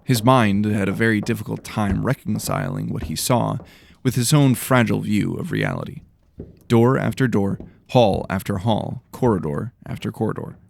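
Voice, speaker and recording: A man's voice: on the low side, normal speed, vocal fry, darkish tone, a little hiss or hum. A man narrating a scripted fantasy story in a dramatic storytelling style.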